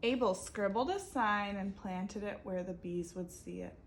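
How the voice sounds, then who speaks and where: A woman's voice: medium pitch, unhurried, slight background noise. A woman reading a picture book aloud expressively, stopping to ask her young listeners questions. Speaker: reader for children.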